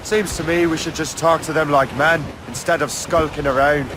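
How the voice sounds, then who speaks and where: Man acting a cocky young Irish sailor, higher-voiced. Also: fairly even intonation, moderate pace, natural voice. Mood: wry, questioning. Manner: easygoing, wry. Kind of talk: dramatic video game cutscene acting. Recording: noisy open-sea ambience behind the voice. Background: waves and boat ambience.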